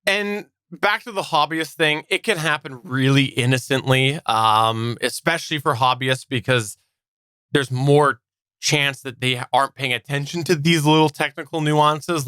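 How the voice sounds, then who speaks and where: A man's voice: medium pitch, moderate pace, bright, forceful tone, smooth, no room sound. A man co-hosting a podcast, explaining things in an informal, conversational way. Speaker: podcast co-host. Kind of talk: casual explanatory podcast talk.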